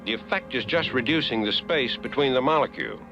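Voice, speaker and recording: An actor playing an earnest male ship's doctor in an animated series, explaining lab findings. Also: medium pitch, fairly quick, highs cut off, faint music behind.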